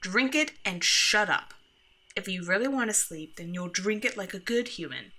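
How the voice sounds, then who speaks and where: A woman's voice: medium pitch, animated, normal speed, bright, forceful tone. A woman playing a grumpy, sarcastic, bossy monster from under the bed in an ASMR roleplay. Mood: grudging, bossy. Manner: exasperated, bossy, mock-threatening. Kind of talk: scripted ASMR roleplay, speaking to the listener.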